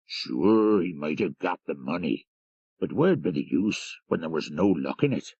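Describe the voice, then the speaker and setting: A man's voice: low-pitched, big pitch swings, unhurried, vocal fry, darkish tone, husky, no room sound, muffled recording, full character voice. A man voicing a deferential, folksy Irish country driver telling local tales in an audiobook. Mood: deferential, chatty. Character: rural Irish jarvey driver, deferential, gossipy storyteller. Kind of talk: audiobook character reading.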